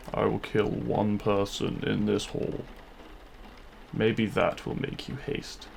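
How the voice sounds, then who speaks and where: An actor playing a demon king, a deliberate and intimate lover, speaking straight to the listener in a romantic audio roleplay. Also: fairly low pitch, vocal fry, a little hiss or hum, heavily acted. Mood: menacing, possessive. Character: feared demon king, menacing in public, tender lover in private.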